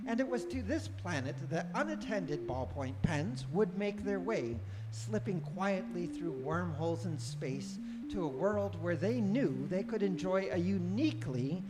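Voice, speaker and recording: A man playing a wry, erudite guidebook narrator with measured, deadpan delivery in a live radio play. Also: high voice. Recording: reverberant hall with loud music underneath.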